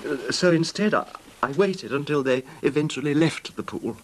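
An actor playing an ailing, betrayed husband who recounts his grievance in halting, formal radio drama style. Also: a little high, expressive. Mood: pained, brooding. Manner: halting, formal, genteel delivery. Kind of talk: old-time radio drama acting, narrated recollection. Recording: noisy old radio drama recording.

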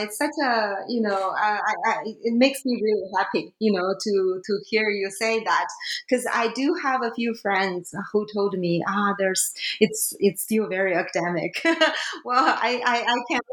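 Non-native woman author, halting and slow, roomy echo. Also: mid-range voice, fairly bright tone. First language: not English. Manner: hesitant, many fillers and restarts. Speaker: author, guest. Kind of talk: academic interview.

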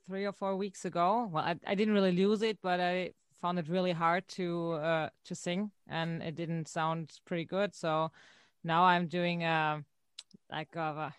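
Hesitant woman singer, smooth voice. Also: lowish voice, no echo. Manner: hesitant, searching for words, laughs often. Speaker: band singer. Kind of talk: interview answers.

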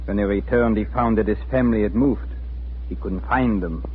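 A man's voice: fairly low pitch, moderate pace, close to an everyday voice. Military subordinate played by a man: dutiful, matter-of-fact report, 1940s radio play, narrow sound with faint noise. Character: dutiful, deferential German military subordinate officer. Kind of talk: 1940s radio drama acting. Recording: scratchy, phone-like 1940s radio recording.

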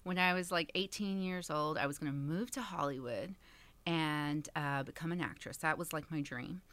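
A woman's voice: low-pitched, moderate pace, a bit bright, no echo. A woman in her thirties from Texas, a community center director, chatting as a guest with frequent laughs and fillers. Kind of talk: casual interview chat.

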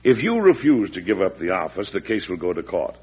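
Beleaguered American president, resolute and formal. Low, slightly husky, muffled vintage radio sound. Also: male voice, very animated, fairly quick, darkish tone, full character voice. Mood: firm, pressing. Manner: formal, insistent, earnest. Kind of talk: classic radio drama acting. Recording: muffled old radio recording.

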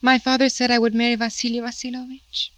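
A woman's voice: a little high, level tone, quick pace, a bit bright, no room sound, close to an everyday voice. A woman playing a proud, imperious seventeen-year-old Russian general's daughter in an old-time radio drama, haughty and dramatic. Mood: anxious, tender.

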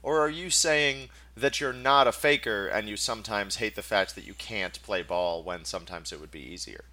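A man's voice: fairly low pitch, normal speed, bright, forceful tone. A man hosting a podcast, talking casually, asking probing questions and explaining his points while checking that he is understood. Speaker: podcast host. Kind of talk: casual chat.

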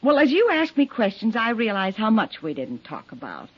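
Flustered, high-voiced, husky neighbor. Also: female voice, huge pitch swings, moderate pace, heavily acted. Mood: defensive, earnest. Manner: hesitant, flustered, protesting. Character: flustered, defensive married woman neighbor being questioned. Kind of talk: 1950s radio drama acting. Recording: dull, lo-fi 1950s radio recording.

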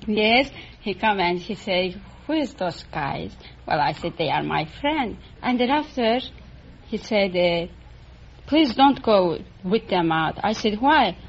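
A woman with a foreign accent, a non-native English speaker, telling her story haltingly in simple broken English as a radio contestant. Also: medium pitch, animated, vocal fry, slight background noise. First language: not English. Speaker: quiz show contestant. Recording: dull, muffled old radio recording.

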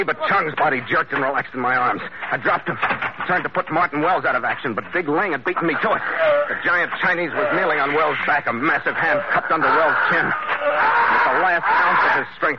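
Male actor as hard-boiled skipper, terse, commanding, rapid delivery, old radio adventure, muffled and very noisy recording. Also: mid-range voice, natural voice. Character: tough, commanding sea captain narrating his adventure. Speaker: lead actor and narrator.

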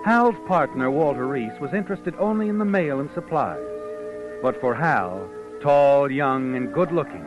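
A man playing an ominous, all-knowing narrator in a 1940s radio mystery, theatrical and brooding with dramatic pauses. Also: mid-range voice, expressive, highs cut off, natural voice. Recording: vintage radio recording with music behind.

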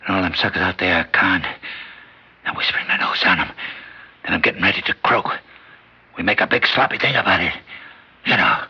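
An actor playing a dying, bedridden old gangster who threatens and schemes in tough street slang, in a 1950s radio drama. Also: male voice, low-pitched, breathy edge, full character voice. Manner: threatening, mocking, swings from whisper to shout. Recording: dull old radio broadcast recording.

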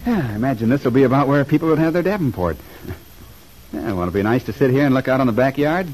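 A man's voice: medium pitch, animated, fast, a little mellow, natural voice. A man playing an eager, modest young dreamer in an old-time radio soap, bashful and hesitant, on a faintly noisy old recording. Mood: bashful pride.

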